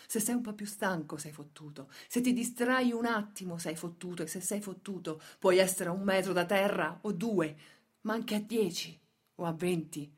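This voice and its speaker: A woman playing a worker's wife in a radio play, retelling a frightened confession in a hushed, anguished way. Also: mid-range voice, breathy edge. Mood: tense, hushed. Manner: hushed, anguished, urgent repetitions. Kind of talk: intimate dramatic monologue in a radio play.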